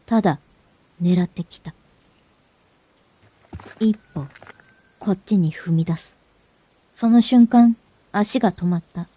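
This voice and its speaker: A woman playing a cornered, fearful young fugitive, narrating a fantasy audio drama in short phrases with dramatic pauses. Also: medium pitch, mellow, no room sound. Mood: tense, hushed dread. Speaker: voice actor narrating as the main character.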